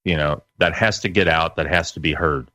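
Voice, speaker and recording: A man in his late forties with a distinctly deep voice, chatting as a guest on a show. He talks in a folksy, halting way, circling back over his phrases. The recording is very dry, without room sound. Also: bright, forceful tone, no room sound. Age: late 40s. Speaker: guest, internet radio broadcaster. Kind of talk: casual interview chat.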